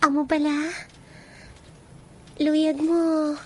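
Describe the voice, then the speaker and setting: A woman's voice: high voice, level tone, drawn-out words, a little hiss or hum, full character voice. An actress playing a young bird princess, girlish and earnest, in a Filipino-accented radio drama. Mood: sweet, coy. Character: young bird princess, sweet yet determined. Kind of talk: Philippine radio drama acting. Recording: faint music behind the voice.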